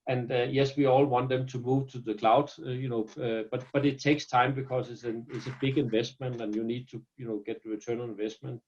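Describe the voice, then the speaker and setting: A man's voice: mid-range voice, little pitch movement, fairly quick, hardly any pauses, highs cut off. A man from Denmark with a Danish accent, a company founder talking earnestly in a business interview and often repeating words as he restarts. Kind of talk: business interview over video call.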